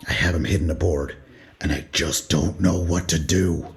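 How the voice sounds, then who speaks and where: Guy playing a steady ship lieutenant, super deep voice, talks straight. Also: moderate pace.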